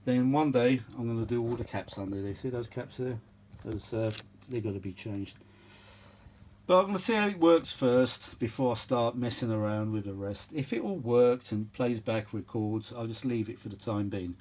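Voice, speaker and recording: A man's voice: medium pitch, moderate pace, darkish tone. A British man giving casual, unscripted repair commentary in a rambling, grumbling, blunt way. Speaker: host of vintage audio repair channel.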